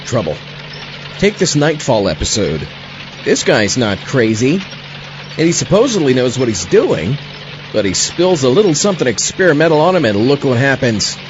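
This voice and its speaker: An animated, casual sidekick, acted by a man, skeptical and wryly exasperated. His comic audio drama banter sounds slightly dull and sits over clear music. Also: mid-range voice, moderate pace, close to an everyday voice. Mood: weary, chatty. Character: laid-back, skeptical sidekick of a mad scientist. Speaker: host introducing radio plays.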